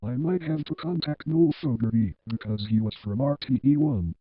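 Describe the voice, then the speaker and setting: A man's voice: medium pitch, normal speed, no echo, close to an everyday voice. A man playing an agreeable control-room crew member in an amateur drama. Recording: dull lo-fi audio.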